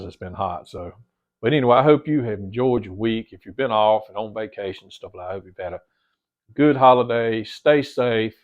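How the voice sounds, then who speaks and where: A middle-aged man with a lowish voice and a slightly stuffy nose talks loosely to his camera, circling back and repeating himself as he addresses his viewers as "guys". The sound is dull and lo-fi, as if from a modest camera mic in a home theater room. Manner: rambling, repeats words, keeps addressing "guys". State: a little stuffy-nosed. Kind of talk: casual chat to camera.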